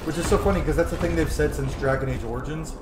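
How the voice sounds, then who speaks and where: A man's voice: on the high side, fast talker, roomy sound. A man giving casual, informal gameplay commentary in short, sometimes sweary asides. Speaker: video game streamer. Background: game music.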